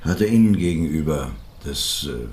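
A man playing a probing police detective in a German radio drama, matter-of-fact but quick to get exasperated. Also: a bass voice, animated, darkish tone. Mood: measured, probing. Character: probing, brisk male police detective questioning witnesses. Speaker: actor in a radio play.

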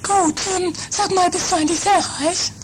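A woman's voice: very high-pitched, steady tone, normal speed, background noise. A woman playing an affected, money-minded rich lady in an improvised trashy comedy audio drama. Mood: pleased.

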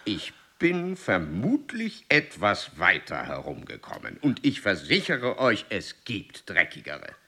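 An actor playing an aged, celebrated explorer baron in a German radio play, pompous and oratorical. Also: male voice, fairly low pitch, wildly swooping pitch, slow, hardly any pauses, sharp tone, breathy edge, exaggerated voice. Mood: reproachful.

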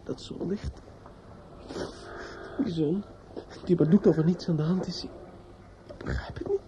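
An actor playing a despairing young man in a radio drama monologue, halting, stammering and repeating himself in fear and grief. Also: fairly high pitch. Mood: anguished, bewildered. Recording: dull, noisy old radio recording.

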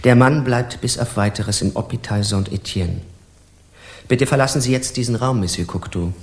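An actor playing a pompous, suspicious police official in a German radio crime play, officious and quick to take outrage. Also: male voice, mid-range voice, natural voice. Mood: cold, officious. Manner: officious, stern, spluttering when provoked. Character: pompous, suspicious male Paris police secretary, easily outraged.

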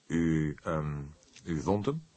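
A man's voice: extremely low pitch, big pitch swings, slow, dark tone, no echo, exaggerated voice. A man playing a stern, taut old police detective in an audiobook, asking questions in a terse, commanding way. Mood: tense.

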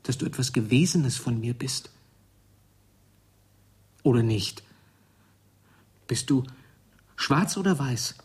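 Husky, bewildered man in radio drama. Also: mid-range voice, lively intonation, slow pace, pauses often, darkish tone. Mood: bewildered, searching. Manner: ponderous, searching, formal literary speech. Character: troubled, bewildered grown man meeting his younger double. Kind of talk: German radio drama acting.

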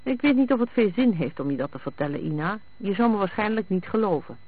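A man voicing a calm, urbane, evasive husband in a read detective story, unhurried and teasing. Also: high-pitched, husky. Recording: thin, phone-like band-limited sound.

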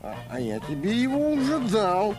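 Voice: medium pitch, huge pitch swings, moderate pace, over music. An actor playing a timid, polite, earnest baby elephant in a children's audio play, with a childlike voice and a hesitant, apologetic manner. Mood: eager.

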